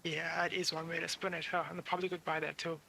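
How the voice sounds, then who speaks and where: A man's voice: a little high, flat delivery, fast, gravelly, sharp tone, slight background noise. A man who streams games, giving casual live commentary on a playthrough. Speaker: game streamer. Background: video game ambience.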